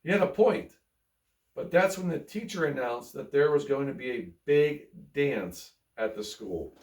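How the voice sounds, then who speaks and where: A man's voice: mid-range voice, expressive, a little slow, a little mellow. A man reading a children's picture book aloud in a folksy, playful way, adding chatty asides and repeating himself. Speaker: bedtime story reader. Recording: roomy, echoey, distant microphone.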